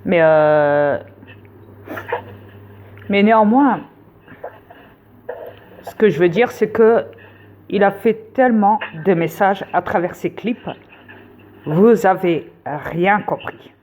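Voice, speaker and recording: A woman's voice: medium pitch, slow pace, long pauses, darkish tone, a little hiss or hum. A woman lecturing viewers insistently in a rambling casual monologue, with many fillers. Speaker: conspiracy video host.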